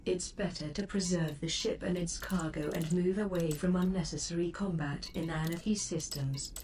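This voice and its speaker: A woman voicing a self-aware female ship computer in a sci-fi game, polite and superior, speaking in formal, measured, articulate sentences. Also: on the low side, steady tone, darkish tone, close to an everyday voice. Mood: advisory. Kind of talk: scripted game voice assistant lines. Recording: distant, with faint background noise.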